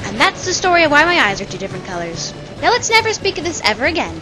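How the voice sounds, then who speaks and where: A woman's voice: sits high, wildly swooping pitch, quick pace, music in the background, low-quality recording. A woman voicing a brash, hot-tempered, combative teenage schoolgirl in a comedic anime parody. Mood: dry. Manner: snappy, combative.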